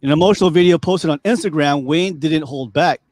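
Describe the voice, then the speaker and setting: A man's voice: on the high side, fairly quick, no room sound, clean studio sound. Rambling Californian man hosting a chat. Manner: relaxed, rambling, many fillers. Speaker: podcast host. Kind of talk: casual chat.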